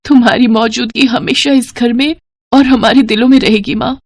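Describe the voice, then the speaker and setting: A woman's voice: fairly high pitch, steady tone, normal speed, background noise, voice pushed up, lightly acted. A woman voicing an earnest, wistful young mother and daughter in a sentimental cartoon drama. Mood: tearful, wistful. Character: sentimental grown daughter and mother visiting her childhood home.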